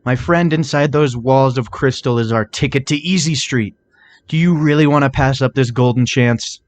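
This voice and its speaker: A man's voice: medium pitch, normal speed, voice pushed up, natural voice. A man voicing a cocky, impatient unicorn stallion thief from a cartoon fan story, brash and slangy. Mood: eager. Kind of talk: cartoon fan fiction character voice.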